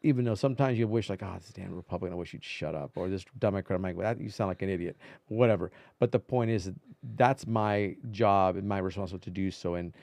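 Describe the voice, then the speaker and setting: A man's voice: low voice, fast, a little mellow, dry recording. A man speaking as a veteran reporter and interview guest, in casual conversational talk full of repetition and asides.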